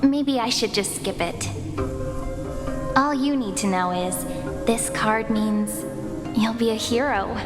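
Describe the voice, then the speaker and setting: Teasing fortune teller, slightly high and unhurried; music bed. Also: female voice. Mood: playful. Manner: playful, coaxing. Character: gentle teasing young woman, fortune teller. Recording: distant voice with reverb and music.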